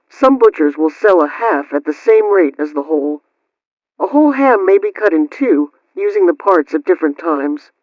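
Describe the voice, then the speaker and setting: A man's voice: high voice, dry recording. A man presenting a radio program, reading scripted household advice. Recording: dry, dull lo-fi radio sound.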